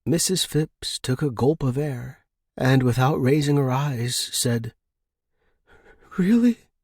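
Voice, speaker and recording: A man reads suspense fiction as an audiobook narrator. His delivery is slow, measured and dramatic, with a slightly husky edge. The recording is dry, with no room sound. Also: mid-range voice, fairly even intonation, no echo.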